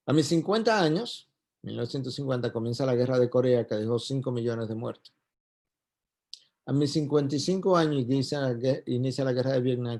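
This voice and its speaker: A man's voice: medium pitch, creaky, darkish tone. A man with a Puerto Rican Spanish accent giving an emphatic church talk, building his points through repetition. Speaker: speaker at a church event. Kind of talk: sermon-style talk to doctors.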